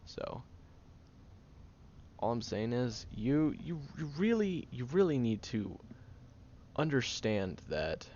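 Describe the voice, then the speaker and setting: A man voicing a gruff, tired young tsundere man who secretly cares, halting and grumpy, in an intimate audio roleplay. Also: mid-range voice, big pitch swings, darkish tone. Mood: earnest, scolding. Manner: halting, sighing, grumpy and flustered. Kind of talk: intimate audio roleplay, acted monologue to the listener. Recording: dull lo-fi, clear background noise.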